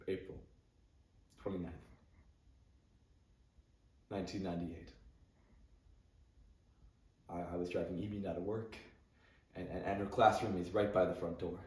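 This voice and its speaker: A man's voice: deep voice, mellow, pitched below the performer's own voice, close to an everyday voice. A young man playing an awkward, grieving male English teacher who stammers, repeats his words and gives nervous little laughs, in a dramatic monologue. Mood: somber. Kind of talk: competitive dramatic interpretation monologue. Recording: roomy sound from a distant camera.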